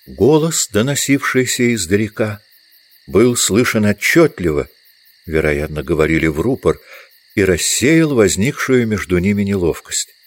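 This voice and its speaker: A man's voice: lowish voice, big pitch swings, unhurried, dry recording. A man reading scripted literary narration as the narrator of an audiobook.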